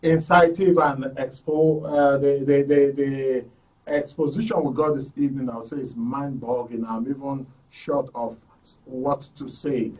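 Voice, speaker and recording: A man with a Nigerian accent hosting a live radio talk show, speaking effusively in a halting, repetitive way. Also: mid-range voice, steady tone, a little mellow, distant mic, poor audio. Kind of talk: live broadcast sign-off, thanking guests.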